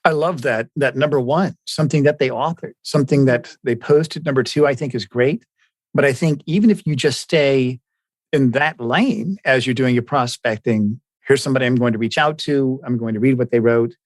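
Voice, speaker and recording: A man's voice: mid-range voice, moderate pace, dry recording. A man hosting a podcast interview in a conversational, affirming way. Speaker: podcast host.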